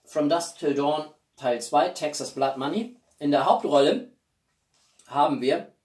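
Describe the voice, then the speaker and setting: A man in his forties chatting casually to viewers as a video host, with an expressive, emphatic delivery and dramatic pauses. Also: a little high. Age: 40s. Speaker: YouTube host. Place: small living room indoors. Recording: roomy echo, distant mic.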